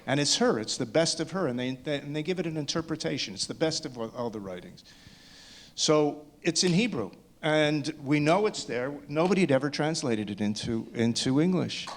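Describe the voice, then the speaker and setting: A man answering questions as a filmmaker on a panel, speaking haltingly, repeating words as he thinks aloud. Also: fairly high pitch, expressive. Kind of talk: post-screening question and answer talk.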